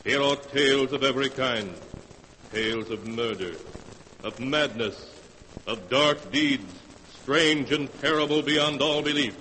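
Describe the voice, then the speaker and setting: A man announcing and narrating a 1940s radio horror show in a dramatic, drawn-out, ominous delivery. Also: medium pitch, sharp tone, slight background noise, rough recording.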